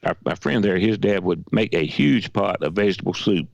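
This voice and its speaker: A hoarse man with a Southern accent and a gravelly, weakened voice, chatting in a folksy, halting way as a radio co-host. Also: low voice, expressive, no room sound, muffled recording. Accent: Southern American. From Georgia. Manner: folksy, halting with many restarts. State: hoarse, weak voice. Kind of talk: casual radio chat.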